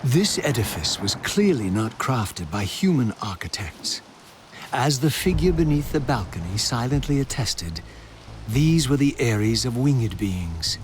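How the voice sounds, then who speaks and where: A man's voice: medium pitch, sing-song, measured pace. An actor playing a brooding undead wraith, narrating his journey in solemn, formal, literary language for a video game. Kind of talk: formal scripted first-person game narration. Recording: clear background ambient noise.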